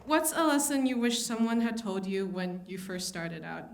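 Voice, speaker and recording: A woman's voice: medium pitch, expressive, normal speed, vocal fry. A young woman from Taiwan asking a question as a student at a live Q&A. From Taipei, Taiwan. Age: young adult.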